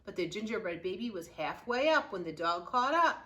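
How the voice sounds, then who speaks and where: A woman reading a children's picture book aloud with expressive, dramatic storytelling and drawn-out key words. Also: medium pitch. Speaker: youth services librarian reading aloud.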